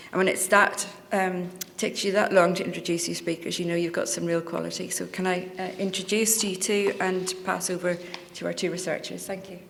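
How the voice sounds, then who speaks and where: A woman's voice: lowish voice, vocal fry, a little hiss or hum. A woman hosting an event, reading out speaker biographies with light asides. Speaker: event host introducing speakers. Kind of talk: formal speaker introduction. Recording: reverberant hall sound.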